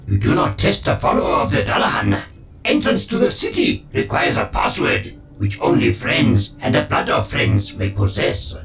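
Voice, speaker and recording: A gravelly, deep-set non-human cult healer swoops wildly up and down as he preaches about himself in the third person. He sounds set back from the mic in a game mix. Faint music plays behind him. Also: huge pitch swings, moderate pace, far from the mic, exaggerated voice. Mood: scornful. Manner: preachy, self-referring in third person, scornful. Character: non-flesh male healer-servant of a cult.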